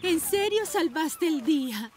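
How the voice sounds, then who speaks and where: A woman voicing a kindly, encouraging grown-up rescue motorcycle in a children's cartoon, gentle. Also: very high-pitched, animated, measured pace, slight background noise. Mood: reassuring. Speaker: cartoon voice actor. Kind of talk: animated children's cartoon dubbing.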